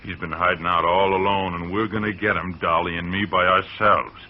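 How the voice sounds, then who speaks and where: A man's voice: sits low, steady tone, moderate pace. An actor playing a greedy, belligerent young country man in an old radio drama, sneering as he talks. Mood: hostile. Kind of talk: 1940s radio acting. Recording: dull old radio recording.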